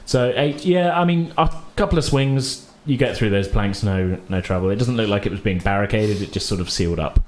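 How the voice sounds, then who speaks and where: A man acting as game master, narrating a tabletop roleplaying game in a casual, halting, rephrasing way. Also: on the low side. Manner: halting, hedging with "sort of", rephrasing.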